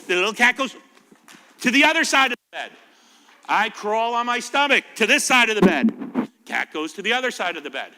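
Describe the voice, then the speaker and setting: A man's voice: high-pitched, sing-song, a little fast, pushed and bright. A man with an American accent telling stories to children in an informal, jokey way, as a firefighter giving a talk. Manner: informal, jokey, asks the listeners questions. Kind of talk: animated storytelling to children. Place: reverberant indoor library room. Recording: reverberant hall.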